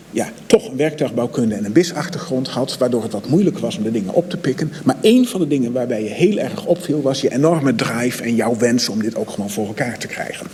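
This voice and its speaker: A man who is a thesis supervisor giving a measured graduation ceremony speech. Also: medium pitch, a little mellow, a little hiss or hum. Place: ceremony hall. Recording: reverberant hall with faint ambience.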